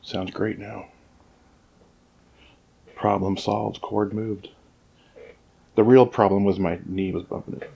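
Male podcast co-host, low-ish voice, close-miked, rambling and opinionated, frequent restarts. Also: level tone, takes it slowly, vocal fry, pauses often, breathy edge, dull audio. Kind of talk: casual chat. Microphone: sits close to the microphone.

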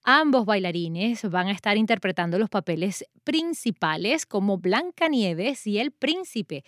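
A woman radio presenter reading a news segment in Spanish with a measured delivery. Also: mid-range voice, expressive, a bit bright, no room sound, clean studio sound.

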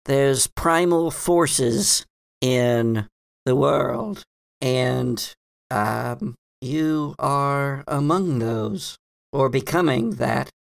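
Tabletop game role: male performer as a knowing female librarian, guarded and halting, slow-paced with a creaky edge. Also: medium pitch, no room sound, clean studio sound, pitched above the performer's own voice. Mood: hesitant, explaining. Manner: halting, searching for words, repeating herself. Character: knowing, cautious, scowling female librarian in glasses. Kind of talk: tabletop game character dialogue.

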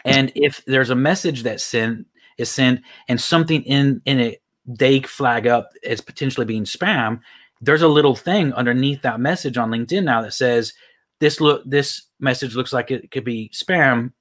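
A man in his thirties talks with an accent that mixes Texan, Irish and British sounds. He answers questions as a guest in a casual way, in a voice of middling pitch. He rambles, restarting his sentences often. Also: moderate pace, a bit bright. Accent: mixed Texan, Irish and British. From United States. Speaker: online marketing guest. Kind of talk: casual interview talk.

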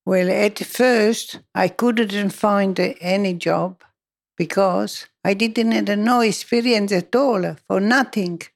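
A woman's voice: mid-range voice, slow pace, creaky. An older woman recounting her working life in an oral history interview, speaking hesitant, broken English.

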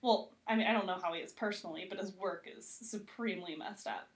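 A woman's voice: medium pitch, fast talker. A woman chatting casually as an interview guest, self-deprecating and humorous, peppering her talk with "like". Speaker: podcast guest, TV critic.

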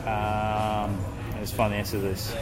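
A man co-hosts a relaxed podcast and reads a guest's written answers aloud. He talks in a loose, joking way and swears casually. Music plays clearly beneath his voice. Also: fairly low pitch, moderate pace, low-quality recording. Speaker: podcast co-host. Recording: music playing underneath.

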